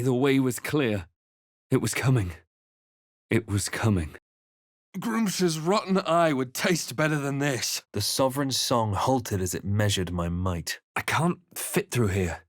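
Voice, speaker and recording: A man playing a grave, watchful fantasy adventurer in a video game, narrating in the first person with measured, dramatic delivery. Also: medium pitch, expressive, no room sound, studio quality, lightly acted. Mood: tense.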